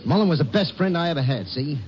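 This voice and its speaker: An actor playing a nervous, defensive war veteran with something to hide, halting and touchy, in a 1950s radio drama. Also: male voice, a little high, lively intonation, darkish tone, close to an everyday voice. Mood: defensive, angry. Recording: muffled, noisy old radio recording.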